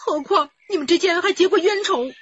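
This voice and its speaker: A sobbing, wailing rose grower. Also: female voice, extremely high pitch, a little fast, a bit bright. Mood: tearful. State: crying. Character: distraught middle-aged woman rose grower, tearful. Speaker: witness in a murder case. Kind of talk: broadcast radio drama acting. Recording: dull lo-fi broadcast audio.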